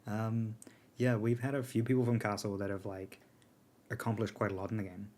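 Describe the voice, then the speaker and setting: A man chatting casually on a live stream, his low voice strained and worn. He talks in a laid-back way, full of ums and soft laughs. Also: little pitch movement, fairly quick. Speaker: streamer and alliance leader answering chat. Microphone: earbuds.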